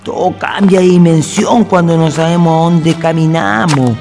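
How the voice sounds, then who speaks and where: A man's voice: fairly high pitch, moderate pace, darkish tone, close to an everyday voice. An actor playing a weary, cautious village man in a radio drama, plaintive and colloquial, with a Chilean accent. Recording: music behind the voice.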